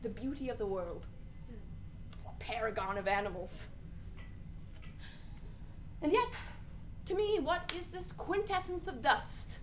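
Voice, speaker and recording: A woman's voice: high voice, animated, unhurried, pauses often, background noise. A woman playing a restless young prince who feigns madness, in exaggerated, theatrical Shakespearean radio drama style. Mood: melancholy, brooding. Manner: exaggerated, punctuated with giggling.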